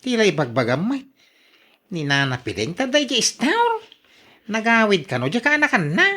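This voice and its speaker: A woman's voice: medium pitch, huge pitch swings, moderate pace, fairly bright tone. A woman with an Ilocano accent playing an exasperated, defensive working husband in a radio drama, full of protest.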